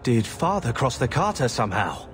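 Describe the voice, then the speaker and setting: An actor playing an earnest, protective young male warrior in a video game, dry and resolute with a husky voice. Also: medium pitch, big pitch swings, moderate pace, faint music behind, close to an everyday voice. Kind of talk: video game character acting.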